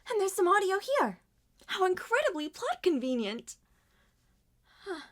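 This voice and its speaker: A woman's voice: extremely high pitch, very animated, a little slow, exaggerated voice. A woman voicing an anxious, timid young female cartoon character in an amateur roleplay drama, with a nervous, pleading, stammering manner.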